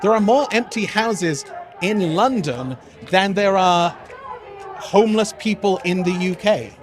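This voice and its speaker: A man's voice: high-pitched, unhurried, music in the background. A man explaining his political views earnestly and casually out on a street. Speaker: protest interviewee. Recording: outdoor street with crowd noise.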